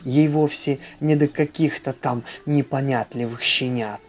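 Slow storyteller over music. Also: mid-range voice, fairly even intonation. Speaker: storyteller and channel host. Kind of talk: expressive children's storybook narration.

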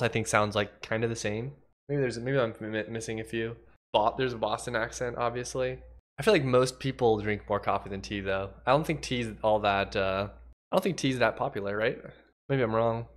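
A young man from Washington state with a slightly nasal, congested voice and a cough, chatting casually with his livestream chat while he codes. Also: lowish voice. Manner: chatty, thinks aloud, reads chat aloud. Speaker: livecoding streamer.

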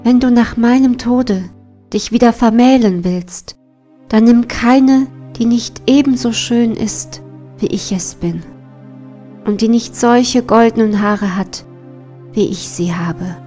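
A woman's voice: on the high side, takes it slowly, warm tone, faint music behind. A woman voicing a dying, solemn and demanding queen in a storybook reading. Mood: solemn plea.

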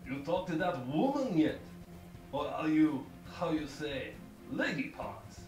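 A goading drinking buddy, as a man puts him on, talking in broken, mock-foreign phrases at a slow pace. There is a roomy echo with music underneath. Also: on the high side, very animated, a little mellow, distant mic.

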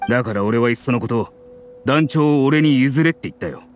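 Actor as a grim loyal mercenary man in a game dub, grave and measured, mid-pitched, soft music behind. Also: natural voice.

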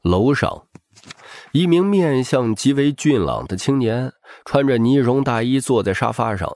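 A man's voice: on the low side, a little mellow, no echo, studio quality. A man narrating a story as an audiobook reader in a dramatic scripted style.

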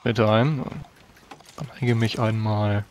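A man playing a tabletop game, narrating his character's actions deliberately in a subdued, literary way. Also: lowish voice, steady tone, darkish tone, a little hiss or hum. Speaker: tabletop role-player.

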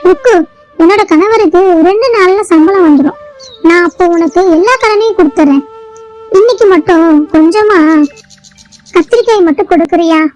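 Woman voicing a very high-pitched, pushy bird housewife. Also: moderate pace, long pauses, a little mellow, soft background music, low-quality recording. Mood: wheedling. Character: pushy scheming female bird housewife who cheats vendors. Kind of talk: children's cartoon moral story.

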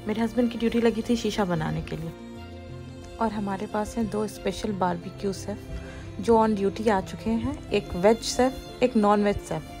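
A woman's voice: mid-range voice, moderate pace. Woman narrating a family vlog. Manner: chatty, mixing Hindi with English phrases. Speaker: vlogger. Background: faint music under the narration.

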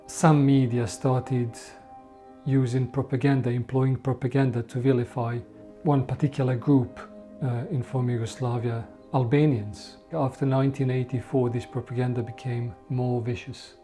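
A man from Bosnia in his forties giving calm, steady documentary interview testimony. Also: medium pitch, normal speed, soft background music. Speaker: genocide survivor interviewee. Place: indoor room with bookshelves.

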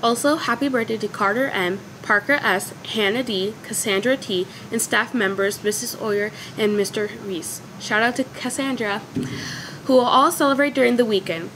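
A teenage girl reading school news and birthday shout-outs in a cheerful, scripted way. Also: a little high, normal speed, a little hiss or hum, poor audio. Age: teens. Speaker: student news anchor. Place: school TV studio, indoors.

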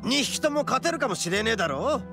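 A man voicing a boisterous, cocky young male oni in an anime-style game, with brash, rough, boastful speech. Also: extremely high pitch, very animated, a little fast, full character voice. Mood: eager. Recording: studio voice over game music. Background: background music.

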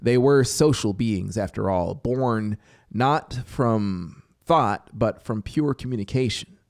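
A man in his thirties giving a dramatic, deliberate story narration into a close studio microphone. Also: lowish voice, vocal fry. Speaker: audiobook narrator. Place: small home music studio. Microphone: close studio microphone with headphones. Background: synthesizer soundscape music.

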